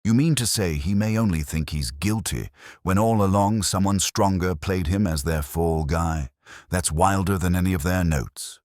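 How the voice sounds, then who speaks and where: A man voicing an excitable, blunt, impatient police sergeant in a mystery audiobook. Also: sits low, normal speed, no echo, clean studio sound, close to an everyday voice. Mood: disdainful, exasperated. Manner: blustering, blunt, exasperated. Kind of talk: mystery audiobook character dialogue.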